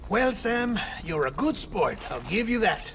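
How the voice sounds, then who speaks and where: An actor playing a jovial, chatty colleague with a playful, teasing way of talking in a video game cutscene. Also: male voice, sits high, measured pace, creaky, noisy background, exaggerated voice. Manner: playful, animated.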